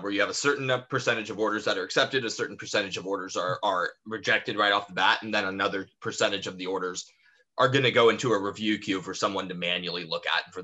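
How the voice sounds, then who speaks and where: A man's voice: fairly low pitch, sharp tone. A man in his thirties explaining conversationally as a business panelist, speaking into a headset on a video call. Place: indoor room, on a video call.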